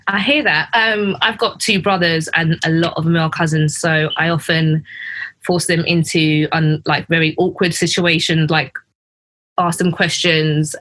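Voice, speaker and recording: A woman's voice: lowish voice, a bit bright. A woman hosting a podcast interview, friendly and giggly, with lots of ums. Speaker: podcast host.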